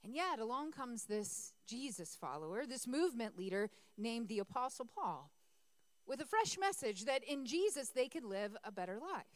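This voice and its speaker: A woman's voice: a little high, sing-song, normal speed, no room sound. A woman pastor who is losing her voice, preaching a conversational sermon in a church and calling on the congregation to answer her. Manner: conversational, asks congregation for responses.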